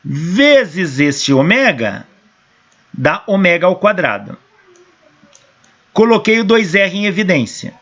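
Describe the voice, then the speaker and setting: Hoarse Brazilian professor, lively higher voice, dull lo-fi sound, talks through equations step by step. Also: male voice, slow, long pauses. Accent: Brazilian Portuguese. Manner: explains step by step, asks and answers his own questions. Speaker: engineering professor. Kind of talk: lecture working through equations. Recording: dull lo-fi recording.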